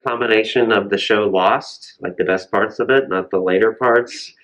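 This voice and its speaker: A man's voice: lowish voice, moderate pace, a bit bright, rough recording. A man co-hosting a casual book recommendation chat. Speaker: library podcast co-host.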